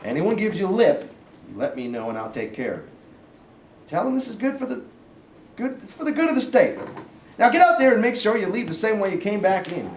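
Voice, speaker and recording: A man plays a domineering Southern authority figure in a staged reading. His fairly high voice swings freely through gruff folksy commands. It sounds far from the mic, with room echo. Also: very animated, fairly quick. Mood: commanding, persuasive. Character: blustering Southern sergeant turned governor and stern father. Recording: distant echoey room, faint noise.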